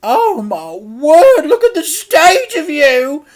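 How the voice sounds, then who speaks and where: Fussy old-fashioned auntie from a kids story, way high pitched, nosy and suspicious. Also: male voice, wildly swooping pitch, slow pace, exaggerated voice. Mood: appalled. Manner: exaggerated, fussy, questioning. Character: posh fussy auntie babysitter, suspicious and shrill.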